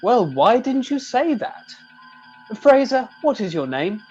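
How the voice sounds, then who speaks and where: A man's voice: very high-pitched, sing-song, slow pace, a little mellow, husky, highs cut off, faint music behind, full character voice. Man playing a lilting, mildly polite English sergeant. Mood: politely vague. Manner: mild, hesitant, courteous. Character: polite, vague, gently pedantic English sergeant, second in command. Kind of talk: comedy radio play acting.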